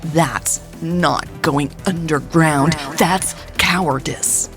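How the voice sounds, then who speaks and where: A woman's voice: deep voice, extremely slow, faint music behind. A woman playing an indignant, accusatory commentator in an audio story. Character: indignant, accusatory online commentator demanding answers.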